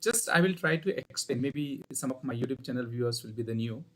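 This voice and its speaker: A man's voice: mid-range voice, animated, fairly quick, very noisy. Indian-accented man hosting a live interview. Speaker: host, voice therapist. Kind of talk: live video interview, explaining.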